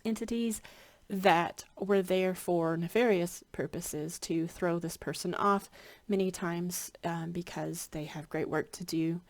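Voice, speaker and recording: A somewhat low-pitched woman talks casually to her followers, her voice a bit stuffy and scratchy from congestion. She rambles along, pausing with frequent ums and restarting her sentences. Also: steady tone. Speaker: spiritual hypnotherapy practitioner. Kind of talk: casual chat to camera.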